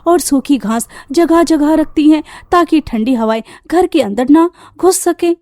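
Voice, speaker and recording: A woman's voice: high voice, measured pace, darkish tone, no echo. A woman reading scripted narration for a cartoon story as the storyteller.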